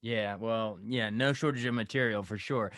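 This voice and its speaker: A man's voice: on the low side, fairly even intonation, normal speed, fairly bright tone, dry recording, clean studio sound. A man hosting a casual, conversational interview as an entertainment reporter.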